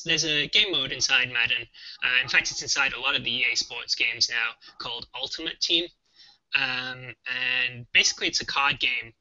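A man's voice: mid-range voice, sharp tone, no room sound, dull audio. A young man, a game developer, co-hosting a casual live stream, talking hesitantly with many ums, on a webcam mic.

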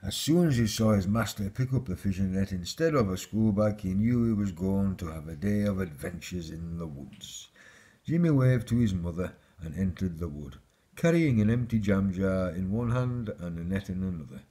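A man with a husky voice, reading a children's fairy tale aloud as a storyteller. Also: deep voice, lively intonation, normal speed, warm tone.

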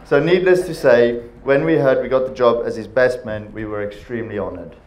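A man's voice: mid-range voice, darkish tone, slight background noise. A man in his thirties with a South African accent, giving a jokey best man speech from notes into a handheld mic in a large panelled hall. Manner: jokey, reading from notes, pausing for laughs. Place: large wood-panelled banquet hall, indoors.

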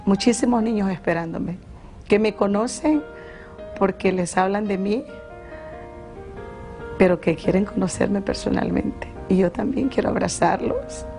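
A woman's voice: medium pitch, measured pace, creaky, pauses often, music in the background. A middle-aged woman, giving a personal testimony in an interview. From Sonsonate, El Salvador. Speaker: interviewee, immigrant charity organizer.

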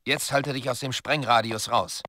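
A man's voice: medium pitch, fairly even intonation, moderate pace, noisy background, natural voice. Stern military commander, acted by a man. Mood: brisk, instructing. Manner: commanding, curt, instructive. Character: stern male military commander briefing a soldier. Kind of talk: video game dialogue, tutorial instruction.

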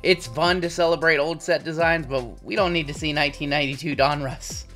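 Man in his thirties, raspy from a sore throat, raised pitch, casual chatty card-opening talk, faint music under him. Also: a little fast. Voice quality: slightly raspy. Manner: relaxed, chatty running commentary with frequent "uh"s. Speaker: card-breaking channel host. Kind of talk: casual pack-opening commentary. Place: indoor home studio with logo backdrop.

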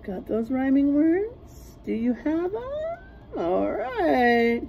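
A middle-aged woman reading a rhyming picture book aloud like a teacher to young children, sing-song and deliberate, stressing rhymes and letters. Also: high voice, sing-song, gravelly, lo-fi sound, a little hiss or hum. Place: small classroom, indoors.

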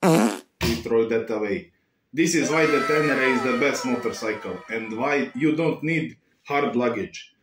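A young man putting on an earnest motorcycle vlogger with broken English and a Bulgarian-tinged accent, talking slowly and preachily in a small room. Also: medium pitch, roomy sound, noisy background, close to an everyday voice. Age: young adult. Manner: earnest, slow, preachy, repeats catchphrases. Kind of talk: parody vlog impression.

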